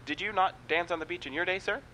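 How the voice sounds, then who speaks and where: An actor playing a polite small-town morning radio host in a comic radio show. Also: male voice, high-pitched, fast talker, bright, forceful tone. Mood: polite, hesitant. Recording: faint background noise.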